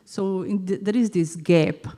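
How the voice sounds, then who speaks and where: Brazilian woman, unhurried and softly mellow. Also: mid-range voice. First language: Portuguese. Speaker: audience member, art teacher. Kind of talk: unscripted audience comment at a discussion event.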